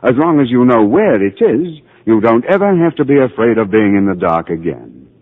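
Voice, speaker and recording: An actor playing a calm, patient middle-aged psychiatrist who narrates and gently explains things in a 1940s radio drama. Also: male voice, mid-range voice, normal speed, breathy edge. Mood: reassuring, gentle. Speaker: narrator and doctor. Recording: tinny old radio broadcast.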